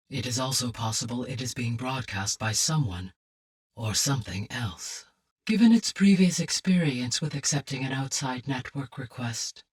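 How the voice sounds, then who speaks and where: Casting: a calm female video game AI, low and breathy-husky, measured, exact and formal, heard slightly off-mic. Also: recorded from a distance, heavily acted. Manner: measured, formal, precise diction. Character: calm, analytical female artificial intelligence. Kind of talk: scripted video game dialogue.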